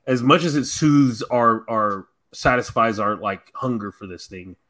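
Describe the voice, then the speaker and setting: Man chatting excitedly in casual slang. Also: medium pitch, unhurried. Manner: animated storytelling, slangy, profane. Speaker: podcast co-host. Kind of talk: casual fan chat.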